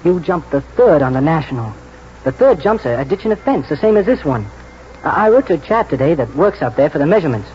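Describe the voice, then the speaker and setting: High-voiced, keen young stable lad. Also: male voice. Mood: conspiratorial, earnest. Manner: halting, excitable, colloquial. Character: keen, plain-spoken young English stable lad, encouraging. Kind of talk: 1940s radio drama acting. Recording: muffled, noisy 1949 radio broadcast.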